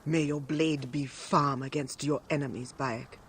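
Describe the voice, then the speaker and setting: A man's voice: mid-range voice, fairly even intonation, measured pace, noisy background, natural voice. An actor playing a shrewd, bookish and roguish relic dealer in a video game, theatrical and savoring his words. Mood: eager, fond. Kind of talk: video game cutscene acting.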